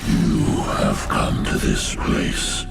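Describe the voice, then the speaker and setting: An actor playing a colossal ancient alien beast in a video game, solemn and ponderous, with a guttural, gravelly growl. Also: male voice, very slow. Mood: ominous. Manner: ponderous, portentous pronouncements. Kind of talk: video game cinematic acting. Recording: loud game music behind.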